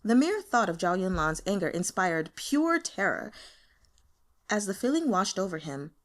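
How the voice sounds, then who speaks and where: A woman narrates fiction in an expressive audiobook style. Her pitch is lively and varied as she reads. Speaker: audiobook reader.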